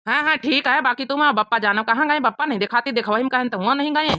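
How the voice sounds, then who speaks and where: A woman's voice: high voice, quick pace, bright, forceful tone, dry recording, lo-fi sound. A woman voicing a busy, practical young villager with an Awadhi accent in a comedy cartoon. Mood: brisk, practical. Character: busy young villager preparing for a family wedding. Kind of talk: rural comedy cartoon dialogue.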